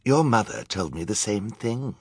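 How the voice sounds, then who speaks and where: A menacing, gleeful villain count from a storybook, voiced by a male narrator. He schemes and gloats theatrically in a low voice with big swings of pitch, recorded close and dry. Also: animated, moderate pace, darkish tone, slightly rough, no echo. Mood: boastful. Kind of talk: audiobook character acting.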